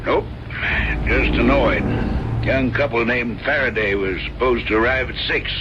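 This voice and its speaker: An actor playing a plain-spoken, worried innkeeper with a terse, folksy manner in an old-time radio mystery. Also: male voice, medium pitch, measured pace, natural voice. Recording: muffled radio playback over truck noise.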